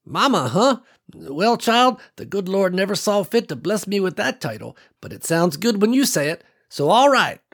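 A man voicing a warm, folksy old country woman who welcomes visitors to her farm. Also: high-pitched, sing-song, normal speed, pitched above the performer's own voice, full character voice.

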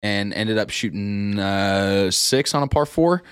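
A man's voice: fairly low pitch, slow, a bit bright, smooth, dry recording, clean studio sound. A young American man co-hosting a sports podcast, chatting casually about golf in slangy, agreeable talk.

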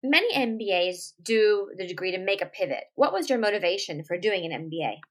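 Woman, podcast host, mid pitch, measured interviewer reading prepared questions. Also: lively intonation, fairly bright tone.

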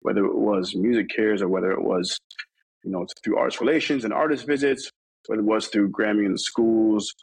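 A man's voice: on the low side, fairly quick, vocal fry, darkish tone, husky, no room sound, low-quality recording. A man from New York talking casually in an interview, rambling with many fillers and restarts. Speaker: interview guest.

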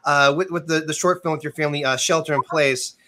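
Rambling, filler-heavy interview host. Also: male voice, a little high, steady tone, fast talker, pushed and bright. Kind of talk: casual interview chat.

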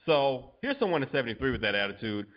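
A man's voice: medium pitch, very animated, fast talker. Jokey blunt guy on a podcast, laughs a lot, kinda dull lo-fi sound. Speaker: podcast co-host, fitness coach. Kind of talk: casual podcast chat.

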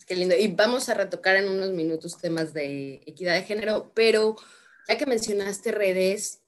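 A woman's voice: medium pitch, moderate pace. A woman hosting an interview, asking questions in a friendly, affirming way. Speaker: interviewer host.

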